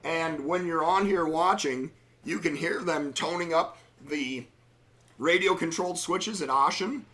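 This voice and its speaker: A middle-aged man hosting a live train-watching stream, chatting casually in railroad jargon, his voice slightly hoarse from a cold. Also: a little high, unhurried, bright, forceful tone. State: slightly hoarse, under the weather.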